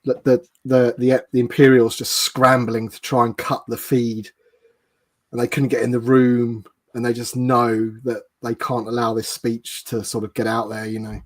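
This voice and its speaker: A man's voice: mid-range voice, little pitch movement, normal speed. A man speaking as a panelist in a casual fan discussion.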